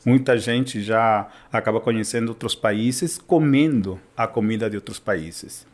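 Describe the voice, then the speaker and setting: A man's voice: fairly low pitch, measured pace. A Spanish teacher from Peru who speaks Portuguese with a Peruvian Spanish accent, explaining in a casual educational chat and often checking in with his listener.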